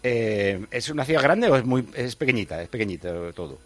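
A man hosting a live radio show, teasing and joking as he asks quick questions. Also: mid-range voice, very animated, fairly bright tone. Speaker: radio host.

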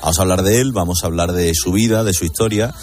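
Radio host, man, deep-ish voice, casual and jokey delivery, faint music behind. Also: quick pace. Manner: lively, joking.

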